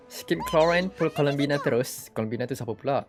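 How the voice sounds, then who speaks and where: A man's voice: a little high, quick pace. A man with a Malaysian accent giving casual, slangy live game commentary and offhand reactions. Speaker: game livestreamer. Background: game audio and soft music.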